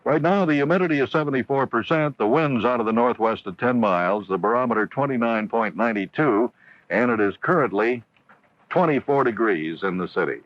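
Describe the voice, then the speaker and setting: A man's voice: mid-range voice, lively intonation, brisk. A man with an American accent anchoring radio news and reading headlines and weather. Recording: dull AM radio broadcast, dry studio.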